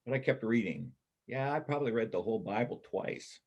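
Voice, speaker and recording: A man playing a wry, self-doubting ex-convict loner with a husky voice and blunt, colloquial speech in a reader's theater play. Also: medium pitch, expressive, a little fast, darkish tone, highs cut off. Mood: confiding. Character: Kip, wounded ex-convict drifter, bookish loner, self-doubting. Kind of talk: stage reader's theater dialogue.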